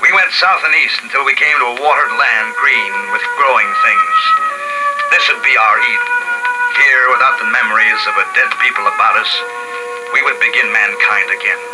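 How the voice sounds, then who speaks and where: A man's voice: mid-range voice, sing-song, normal speed, lightly acted, poor audio. An actor playing a weary man who leads a handful of plague survivors and narrates their story in an old radio drama. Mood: somber. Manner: somber, earnest, musing. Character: weary man leading a few plague survivors, grave father. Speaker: narrator and lead character. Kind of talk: 1950s radio drama acting. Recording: old radio broadcast with music behind.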